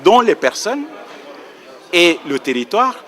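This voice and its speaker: A man's voice: sits high, sing-song, takes it slowly, fairly bright tone. A man explaining a legal point on a panel in a precise, lecturing way, correcting himself as he goes. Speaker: round table panelist on nationality law.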